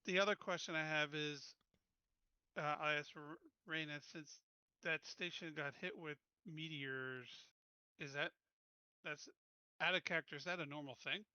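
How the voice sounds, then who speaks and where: A man's voice: on the high side, fairly even intonation, moderate pace, pushed and bright, clear, resonant tone, no room sound, muffled recording. A man chatting casually as a player in a tabletop roleplaying game, asking questions as he goes.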